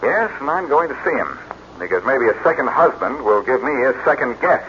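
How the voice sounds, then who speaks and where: An actor playing a cocky, quick-witted American amateur detective, wry and confident, in 1940s radio style. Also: male voice, medium pitch, very animated, close to an everyday voice. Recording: muffled, noisy 1940s radio recording.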